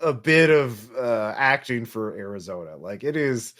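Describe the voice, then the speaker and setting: A man with a mid-pitched voice narrates a tabletop game as its game master. He improvises as he goes, haltingly, searching for his words. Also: expressive, fairly bright tone.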